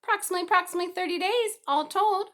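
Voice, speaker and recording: A woman's voice: very high-pitched, fast talker, a bit bright, pitched above the performer's own voice. A woman voicing a fussy motherly goose in a children's storybook, stuttering and repeating her words. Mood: fussy, brisk. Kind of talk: children's storybook read-aloud.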